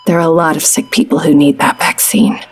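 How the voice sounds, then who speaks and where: An actress playing a grave, matter-of-fact hospital doctor in an audio drama, with a husky voice. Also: female voice, deep voice, flat delivery, a little fast, faint music behind, natural voice.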